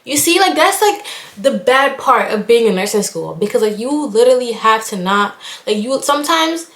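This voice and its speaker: A woman's voice: on the high side, big pitch swings, moderate pace, a bit bright. A young woman from Haiti, a nursing student, chatting casually while getting ready and rambling with lots of "like". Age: young adult. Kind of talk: casual get-ready-with-me chat. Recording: echoey room.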